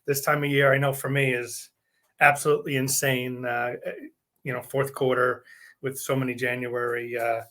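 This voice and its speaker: A man's voice: medium pitch, flat delivery, normal speed, a bit bright. A man hosting an interview podcast, chatty and joking, often repeating words as he starts a sentence. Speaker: podcast host, benefits advisor. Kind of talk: casual interview chat.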